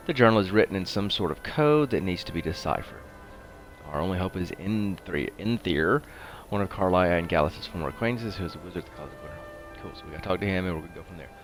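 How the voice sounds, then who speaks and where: A man with a congested, sniffly head cold, giving casual, rambling game commentary as the host of a livestream. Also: low-pitched.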